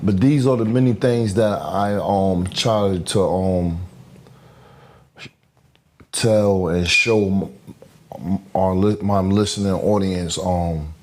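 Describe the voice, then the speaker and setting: A man hosting a podcast interview, halting and earnest, with many fillers and restarts. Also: sits low, fairly even intonation, darkish tone, a little hiss or hum. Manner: halting, many fillers and restarts, says "you know what I'm saying"; devout, earnest. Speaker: podcast host. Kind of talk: interview conversation.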